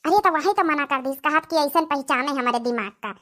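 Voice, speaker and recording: A woman's voice: sits high, brisk, a bit bright. A woman voicing a chatty, insistent, scheming village mother with an Awadhi accent in a cartoon comedy. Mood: complaining. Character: scheming village mother pushing her favoured daughter. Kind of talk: rustic cartoon comedy acting.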